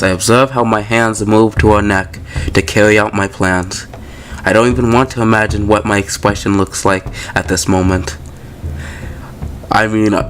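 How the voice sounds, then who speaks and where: Casual male gamer with noisy background. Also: fairly low pitch. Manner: informal, slangy, reacting aloud to the story. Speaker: gaming video host. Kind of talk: casual let's play commentary mixed with reading game text aloud.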